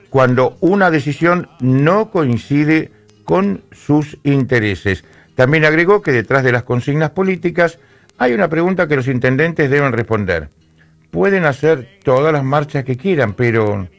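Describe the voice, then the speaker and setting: A man hosting a radio news segment in a studio, paraphrasing statements and leaning on fillers. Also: on the high side.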